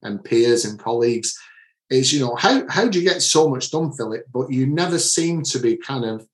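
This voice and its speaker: A man's voice: mid-range voice, lively intonation, normal speed, echoey room. A man from the Manchester area with a Manchester accent, an author and coach answering interview questions earnestly, often restarting his phrases. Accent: Manchester English. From Manchester area, England.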